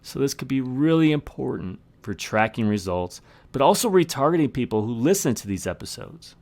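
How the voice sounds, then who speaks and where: A man's voice: medium pitch, sing-song, fairly quick, darkish tone. A man hosting a podcast, explaining tips in a scripted monologue with emphatic, short punchy phrases. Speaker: podcast host.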